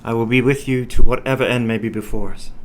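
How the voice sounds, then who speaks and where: An actor playing a devoted, anguished young husband in a radio play, speaking tenderly and formally. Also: male voice, mid-range voice, fairly even intonation, fast, slight background noise, close to an everyday voice.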